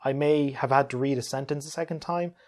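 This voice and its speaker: A man's voice: mid-range voice, fairly even intonation, brisk, darkish tone. A man hosting a casual question-and-answer video about games, talking in an easygoing, conversational way. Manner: easygoing, conversational, chuckles often. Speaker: YouTube gaming video creator and host.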